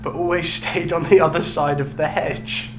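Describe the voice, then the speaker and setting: A man's voice: a little high, fairly even intonation, moderate pace. A man co-hosting a podcast, telling a story in casual chat with an amused, explanatory manner. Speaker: podcast co-host. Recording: clearly noisy recording with hum.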